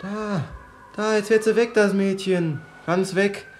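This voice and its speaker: An actor playing an anxious, excitable junior gangster in a radio crime satire, nervously repeating himself. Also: male voice, sits high, takes it slowly, a little hiss or hum. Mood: excited. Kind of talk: German radio drama acting, crime satire.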